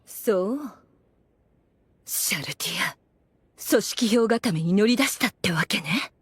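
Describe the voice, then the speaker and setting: A woman's voice: mid-range voice, expressive, slow pace, slightly rough, no room sound, heavily acted. A woman playing a haughty, scheming demoness in an anime audio drama, with imperious, refined feminine phrasing. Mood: probing, imperious. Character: haughty, scheming, obsessively devoted demoness overseer.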